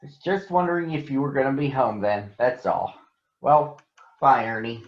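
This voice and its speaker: A man's voice: medium pitch, moderate pace, echoey room, lightly acted. A man voicing a big, friendly bird in a storybook, chatty and feigning casualness, on a lo-fi recording. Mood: coy, casual.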